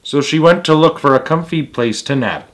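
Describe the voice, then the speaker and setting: A man's voice: fairly high pitch, lively intonation, moderate pace, fairly bright tone. A man reading a children's story aloud as its host, with emphatic delivery and casual asides.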